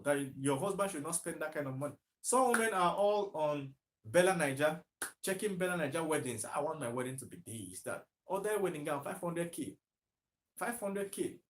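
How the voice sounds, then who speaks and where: A man's voice: fairly high pitch, animated, a little fast. A Nigerian man talking on a live stream to viewers, emphatic, repeating himself and asking rhetorical questions. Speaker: livestreaming commentator.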